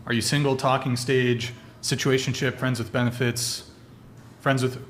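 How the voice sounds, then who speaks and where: A man hosting a casual panel podcast, relaxed and hesitant, with lots of fillers. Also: mid-range voice, normal speed, slight background noise. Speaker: podcast host.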